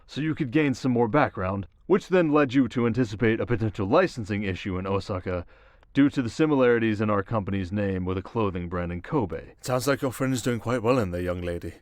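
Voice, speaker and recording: Audio roleplay role, male performer: demanding middle-aged male business magnate, formal, measured, commanding, low-key, very dry sound. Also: darkish tone, dry recording, close to an everyday voice. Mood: measured, appraising.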